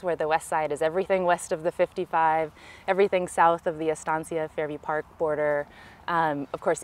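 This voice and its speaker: A woman with a Californian American accent giving measured, explanatory answers in a conversational interview as a local political candidate, recorded outdoors. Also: low-pitched. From California, United States. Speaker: city council candidate, interviewee. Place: outdoors in a park.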